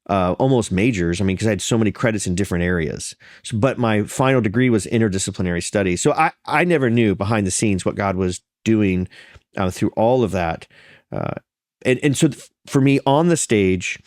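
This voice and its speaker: A man's voice: fairly low pitch, darkish tone, no room sound, studio quality. Slightly congested man, earnest storyteller. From Florida. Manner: earnest, storytelling with frequent restarts and fillers. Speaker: pastor and podcast guest. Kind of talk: conversational interview.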